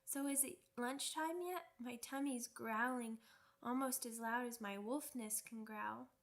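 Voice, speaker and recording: A woman's voice: fairly high pitch, unhurried. A woman playing a young werewolf pup in an ASMR roleplay, childlike, cheeky and earnest, with pouty, rambling speech. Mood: eager, excited. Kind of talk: ASMR roleplay audio drama.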